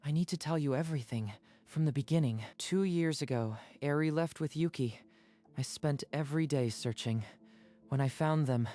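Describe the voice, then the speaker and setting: A man's voice: mid-range voice, darkish tone, close to an everyday voice. A man voicing a remorseful father in a manga dub, earnest and pleading. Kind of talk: dramatic manga dub acting.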